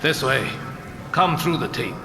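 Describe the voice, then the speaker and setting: An actor playing a brusque, mysterious senior detective in an audio drama, with a commanding, cryptic and clipped way of talking. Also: male voice, medium pitch, lively intonation, unhurried, gravelly, noisy background. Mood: wry, brooding. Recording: music behind the dialogue.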